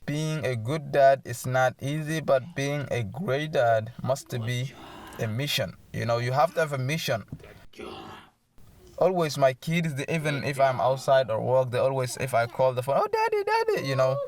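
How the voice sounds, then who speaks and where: A man's voice: medium pitch, lively intonation, normal speed. Earnest man in his twenties. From Somalia. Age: 20s. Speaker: interviewee. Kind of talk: personal testimony.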